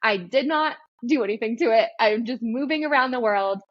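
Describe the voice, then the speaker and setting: Host type: girly-voiced woman, slight lisp, animated chat, a little lo-fi. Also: on the high side, brisk, dry recording. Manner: animated, laughs while talking. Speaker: podcast host and business coach. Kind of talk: casual interview chat.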